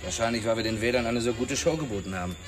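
An actor playing a blunt, cocky, streetwise ex-boxer cop in a radio drama. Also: male voice, medium pitch, rapid-fire, close to an everyday voice. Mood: blunt, pushy. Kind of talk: radio drama dialogue acting. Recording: slightly distant, music behind. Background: music.

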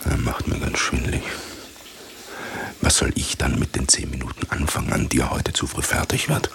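A pompous, condescending male superior in a radio play. His voice is extremely low and creaky, fussing pedantically over clear background noise. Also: steady tone, normal speed. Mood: condescending, fretful. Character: fussy, pompous superior lecturing a hurried underling. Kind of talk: radio drama acting.

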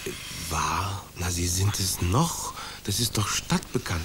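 An actor playing a sly, ironic man who hesitates with fillers and flatters with mock politeness. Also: low-pitched, measured pace, noisy background. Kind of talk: radio drama acting.

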